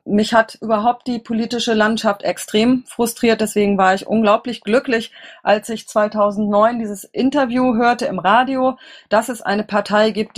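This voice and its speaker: A middle-aged German woman answering interview questions conversationally, in a halting, rambling way with self-corrections. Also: on the high side. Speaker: interviewee, political candidate.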